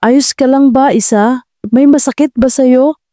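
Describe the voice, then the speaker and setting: A woman's voice: high voice, steady tone, measured pace, warm tone, no echo, clean studio sound, voice pushed up. A woman voicing a brave, protective young man in a dramatic audio love story. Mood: tender concern. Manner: commanding, urgent.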